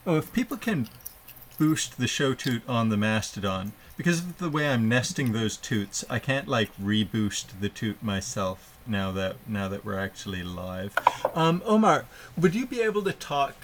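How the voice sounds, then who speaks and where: A man's voice: mid-range voice, lively intonation, moderate pace, slight background noise. A man hosting a live show, chatting casually and poking fun at himself as he welcomes guests. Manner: chatty and self-deprecating, with laughs and filler. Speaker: podcast host.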